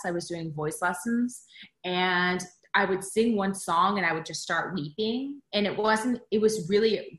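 A young woman actor with a deep voice, talking in a reflective, earnest way as a podcast guest. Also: fairly bright tone, echoey room. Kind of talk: casual chat.

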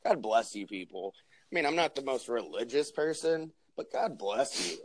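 Hoarse young male co-host, loose and profane, dry close sound. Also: mid-range voice, big pitch swings, moderate pace, dry recording. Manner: joking, profane, rambling plugs. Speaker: podcast co-host. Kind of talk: casual chat.

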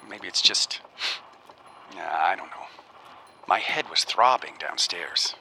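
An actor playing an earnest, uneasy researcher in a radio drama, speaking in a halting, musing way as if keeping an audio diary. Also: male voice, deep voice, gravelly, pushed and bright, exaggerated voice. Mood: uneasy, tired. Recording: faint noise behind the voice.

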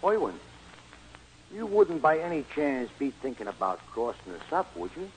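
A man's voice: medium pitch, very animated, normal speed, lightly acted. A man playing a pushy, streetwise racetrack gambler who bosses his gang around in a 1940s radio comedy. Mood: suspicious. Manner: brash, bossy, streetwise. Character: pushy, fast-scheming male racetrack gambler, gang leader. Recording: noisy old radio broadcast recording.

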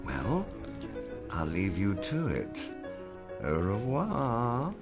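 Man doing a flamboyant socialite, teasing, theatrical, slow and lilting, music behind. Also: fairly low pitch, wildly swooping pitch, exaggerated voice. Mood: gushing. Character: flamboyant middle-aged male socialite matchmaker.

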